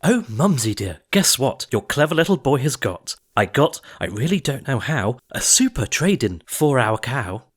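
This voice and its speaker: A man voicing an excitable, cheeky young boy who talks in a high, eager voice. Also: moderate pace, few pauses, husky. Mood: excited.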